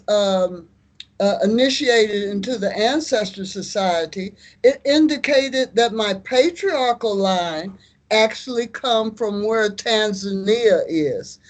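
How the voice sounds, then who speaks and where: An American woman in her seventies, an artist and community activist, answering interview questions in an unhurried, storytelling way with firm declarations. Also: medium pitch. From United States. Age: 70s.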